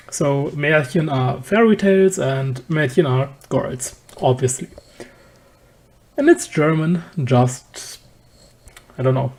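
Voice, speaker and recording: Slow-paced, dark-toned anime reviewer. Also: medium pitch. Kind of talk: casual episode review.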